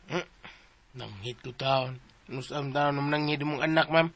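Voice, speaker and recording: A man's voice: mid-range voice, steady tone, unhurried, fairly bright tone, no room sound. A man with a Filipino accent playing a cheeky, bumbling household helper in a comic radio drama, chattering and repeating himself. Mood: deferential, joking. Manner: chattery, repetitive, teasing.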